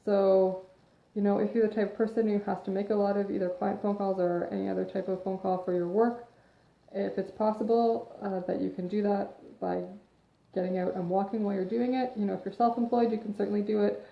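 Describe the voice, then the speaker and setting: A woman's voice: medium pitch, fairly even intonation, fairly quick, gravelly. A woman fitness coach chatting through a live video, rambling into tangents and a little sniffly from allergies. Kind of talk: casual chat, advice monologue. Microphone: phone or webcam livestream. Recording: echoey room, dull lo-fi sound.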